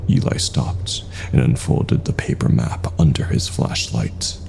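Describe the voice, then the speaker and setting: Male horror narrator: bass-deep, dark mellow tone, unhurried, hushed and ominous, faint noise behind. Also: creaky. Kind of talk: scripted first-person horror story narration.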